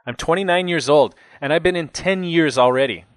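Man acting wound-up angry young jailbird, pitched high. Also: a little fast, clean tone, dry recording, natural voice. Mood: bitter, vengeful. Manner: agitated, ranting, heavy swearing. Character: angry, foul-mouthed 29-year-old jailed man, wiry, wound-up.

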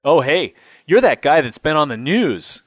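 A man voicing an excitable, fidgety young jail inmate in an audiobook, gushing like a starstruck fan in slangy dude talk. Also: medium pitch, moderate pace, slightly rough, no echo. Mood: starstruck, excited.

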